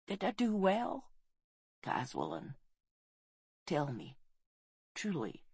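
A woman voicing a dying warrior queen, halting and solemn, in a weak, breathy whisper, for an audiobook story. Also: a bass voice, expressive, fairly bright tone, dry recording, pitched below the performer's own voice, heavily acted. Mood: tender, fading.

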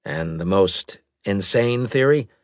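A man's voice: lowish voice, lively intonation, slow pace, no room sound, clean studio sound. Man playing a terse, commanding military leader. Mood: probing, serious. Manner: terse, questioning, authoritative. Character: commanding, probing male military leader in science fiction.

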